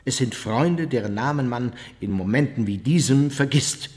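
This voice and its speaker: An actor playing an older Nazi war criminal under interrogation in a radio drama, giving terse, evasive, defiant denials. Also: male voice, medium pitch, animated, moderate pace, darkish tone, close to an everyday voice. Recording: reverberant interrogation room.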